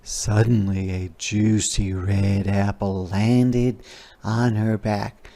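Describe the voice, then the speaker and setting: A man reading a children's story aloud as a teacher, pausing to explain words and ask questions, stretching key words. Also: lowish voice, level tone, mellow. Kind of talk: interactive children's read-aloud.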